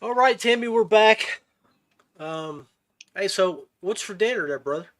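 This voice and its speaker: A man's voice: high-pitched, lively intonation, a little slow, a bit bright, soft background music. A man hosting a podcast and chatting casually with his guest. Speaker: podcast host. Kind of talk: casual chat.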